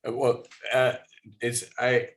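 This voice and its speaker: A man's voice: medium pitch, monotone, takes it slowly, a bit bright. A man hosting the interview, asking brief questions. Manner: brief questions and short acknowledgements. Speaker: interviewer host.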